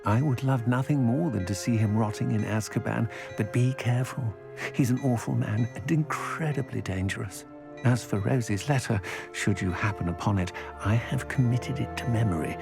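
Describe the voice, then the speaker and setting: Creaky-voiced, anxious British shop clerk, played by a man. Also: mid-range voice, normal speed, over music, close to an everyday voice. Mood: worried, earnest. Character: anxious, lovesick male shop clerk in trouble. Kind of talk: video game voice acting, scripted dialogue.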